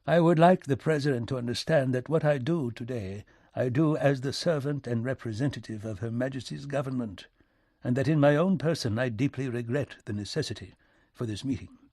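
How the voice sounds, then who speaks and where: A man playing a formal, reluctant British diplomat in an audiobook, speaking in measured, courteous sentences. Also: mid-range voice, darkish tone, dry recording, studio quality, close to an everyday voice. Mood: formal, regretful. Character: Lord Lyons, formal, reluctant middle-aged British diplomat.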